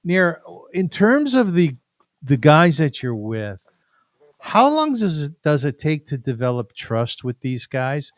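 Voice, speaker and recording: An effusive male interview host who piles on praise and restarts his phrases as he talks. His voice is smooth and resonant, with a dry, close sound and no room echo. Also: a little high, sing-song, slow pace. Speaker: show host. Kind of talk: interview hosting.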